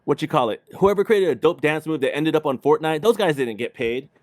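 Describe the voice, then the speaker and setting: Slangy man hosting, higher voice. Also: lively intonation, brisk, no room sound, studio quality. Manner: slangy, informal. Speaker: host of a video game livestream show. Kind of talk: casual chat, hosting a livestream.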